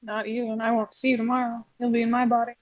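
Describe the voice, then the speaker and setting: A woman's voice: fairly high pitch, little pitch movement, fast, lightly acted. A slightly muffled recording of a woman playing a weary female puppet in a game roleplay. She is dry, terse and stubborn, and sounds thoroughly unimpressed.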